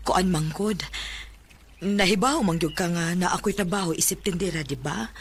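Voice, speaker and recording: A woman's voice: lowish voice, normal speed, slight background noise, natural voice. A Filipino woman with a Visayan accent playing a weary, struggling abandoned mother in a radio drama. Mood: earnest, pleading.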